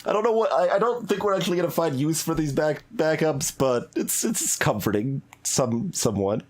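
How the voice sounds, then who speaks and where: Halting man doing game commentary. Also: a little high, expressive. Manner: halting, musing aloud. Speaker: let's play streamer. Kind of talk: casual live game commentary.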